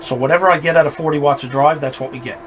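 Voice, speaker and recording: A man with a fairly high, not boomy voice, casually reviewing a radio amplifier, rambling and emphatic. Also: fairly even intonation, racing, fairly bright tone. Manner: rambling, emphatic warnings, restarts. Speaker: ham radio operator reviewing gear. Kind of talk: casual spoken equipment review. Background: amplifier fan noise in background.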